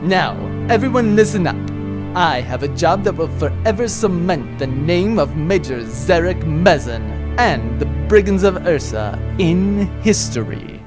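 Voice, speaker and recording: A man's voice: fairly high pitch, animated, unhurried, over music. A man voicing a vain, swaggering one-eyed pirate major in a comedy audio drama, speaking with theatrical bluster. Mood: rousing.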